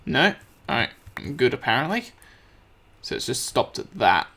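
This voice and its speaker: A man with a slightly croaky voice, casually commenting on his gameplay and thinking aloud as he crafts. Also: mid-range voice, sing-song, normal speed, pushed and bright. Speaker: let's play host.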